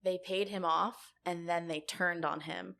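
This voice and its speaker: A woman's voice: fairly low pitch, fairly even intonation, a little slow, creaky, pushed and bright. A woman co-hosting a podcast in casual chat, playfully teasing and riffing as she reacts and asks questions.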